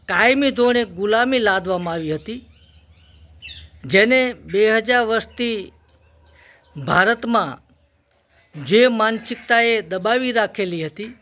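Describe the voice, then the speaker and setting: Male orator, high forceful voice, deliberate emphatic delivery for formal historical address. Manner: emphatic, deliberate, in short measured phrases. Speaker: speaker for a Buddhist vihara.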